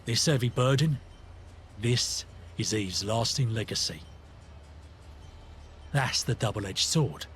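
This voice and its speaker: An actor playing a conflicted, earnest British rebel in a video game, talking in a matey, reflective, colloquial way. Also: male voice, mid-range voice, takes it slowly, long pauses, a bit bright, faint music behind. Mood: confiding, serious. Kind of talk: scripted video game companion dialogue.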